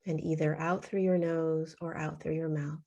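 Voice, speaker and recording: A woman leading a guided meditation in a soothing, unhurried way, leaving long pauses between short phrases. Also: low-pitched, little pitch movement, a little mellow, muffled recording.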